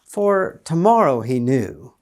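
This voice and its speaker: A man reads a storybook aloud for children. His delivery is slow and theatrical, with big swings in pitch and playful, stretched-out emphasis on key words. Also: very animated.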